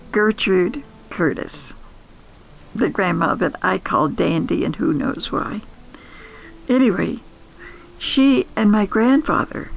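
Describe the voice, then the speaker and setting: An older woman telling nostalgic first-person stories in an intimate, wistful, sentimental manner, with musing pauses. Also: medium pitch, vocal fry, low-quality recording. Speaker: podcast host and storyteller.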